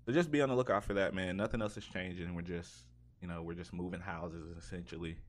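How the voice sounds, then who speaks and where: A man's voice: low-pitched, steady tone, fast talker. A man hosting a casual podcast, talking informally and with plenty of slang. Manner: informal, slangy, punctuates sentences with "man" and "bro". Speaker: podcast host and streamer.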